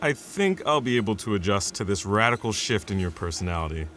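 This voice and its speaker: A man's voice: lowish voice, sing-song, fairly quick, fairly bright tone, a little hiss or hum. A man acting as a sarcastic, exasperated lab assistant in an audio drama, talking colloquially. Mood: wry. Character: wry, exasperated male lab assistant and old friend.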